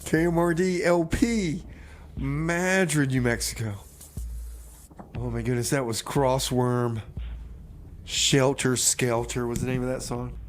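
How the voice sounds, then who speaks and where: Easygoing male radio host, medium pitch. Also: slow, pauses often. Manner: easygoing, interjecting, repeats short phrases. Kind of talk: casual comic banter and DJ announcing. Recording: faint background noise.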